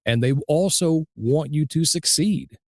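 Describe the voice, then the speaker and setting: A man hosting a casual podcast interview in an affirming, measured manner. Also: a little high, creaky, no echo, clean studio sound. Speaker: podcast host, audiobook narrator.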